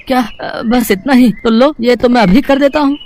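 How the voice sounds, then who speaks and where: A man voicing a humble, earnest poor village husband in an animated moral story, speaking a little hesitantly. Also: very high-pitched, normal speed, slight background noise. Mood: puzzled.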